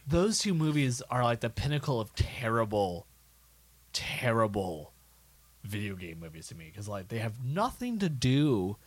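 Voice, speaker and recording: A man's voice: medium pitch, sing-song, measured pace, breathy edge, no echo. A man co-hosting a podcast, talking casually about films with a wry, film-buff manner. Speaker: podcast co-host.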